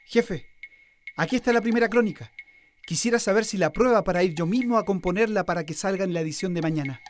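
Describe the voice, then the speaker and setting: An actor with a Chilean accent playing an earnest, deferential newspaper reporter who explains things respectfully in a radio drama. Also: male voice, high voice, fast talker, slight background noise, close to an everyday voice. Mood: eager, respectful. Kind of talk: Spanish-language radio drama acting.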